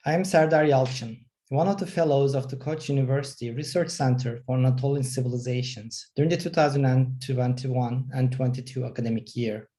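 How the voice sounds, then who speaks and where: Measured, mellow-voiced man from Turkey. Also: mid-range voice, steady tone. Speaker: art history professor. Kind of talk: prepared self-introduction.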